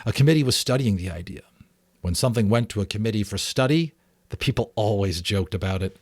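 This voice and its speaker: A man reading a novel aloud as a dramatic audiobook, expressive, with offhand asides. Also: on the low side, quick pace, no echo, studio quality. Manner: expressive storytelling. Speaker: amateur audiobook reader.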